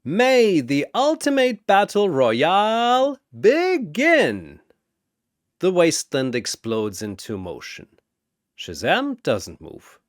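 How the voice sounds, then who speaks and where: A man narrating a fantasy battle in a bombastic, theatrical style with drawn-out emphasis, like an arena announcer. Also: high voice, wildly swooping pitch, no room sound, studio quality.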